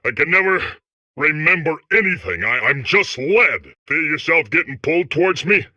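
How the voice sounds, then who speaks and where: A man's voice: on the high side, expressive, normal speed, pushed and bright, no echo, lo-fi sound, exaggerated voice. A man voicing a boastful, dim, talking lead core in a video game, with slangy, blustering, rambling speech. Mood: self-pitying, boastful. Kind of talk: comedic video game character acting.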